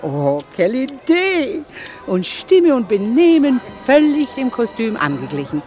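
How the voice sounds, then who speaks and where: An actress in a 1950s German radio play playing an affected, exclamatory high-society lady who flirts and teases. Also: big pitch swings, measured pace, darkish tone. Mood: excited, affected. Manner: affected, exclamatory, playfully teasing. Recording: 1950s radio recording with music.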